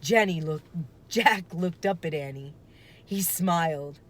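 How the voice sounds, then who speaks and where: A woman reading a children's book aloud with expressive, deliberate, dramatic phrasing. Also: on the low side. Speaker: storybook reader.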